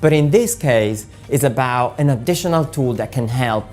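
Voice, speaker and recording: Very low-voiced woman explaining. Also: animated, moderate pace, darkish tone, faint music behind. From Italy. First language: Italian. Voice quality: patient, explaining step by step with frequent ums. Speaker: software presenter. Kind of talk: explanatory talk about translation software.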